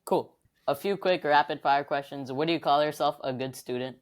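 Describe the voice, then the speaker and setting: A man's voice: on the high side, moderate pace. A man co-hosting a podcast, asking interview questions in a conversational way.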